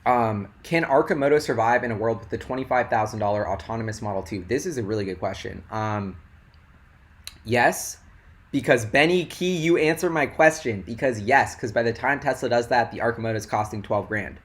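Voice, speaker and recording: A young man hosting a casual investing livestream, rambling and excitable with lots of "like", his voice going hoarse. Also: mid-range voice, fairly quick. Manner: rambling and excitable, heavy "like" filler.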